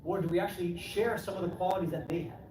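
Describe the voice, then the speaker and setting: A man's voice: high voice, steady tone, fast, crowd noise. A man giving a conversational sermon, asking rhetorical questions, often adding "right?" and voicing imagined replies. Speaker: preacher addressing a congregation. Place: Islamic center hall. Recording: echoey hall, distant mic, clearly noisy.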